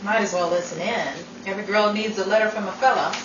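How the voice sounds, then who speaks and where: A woman acting a wry, frank, soft-hearted army woman in a 1940s-style radio drama, on a noisy, echoey recording. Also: medium pitch, fairly even intonation, quick pace, recorded from a distance, natural voice. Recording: noisy, dull, echoey room from afar.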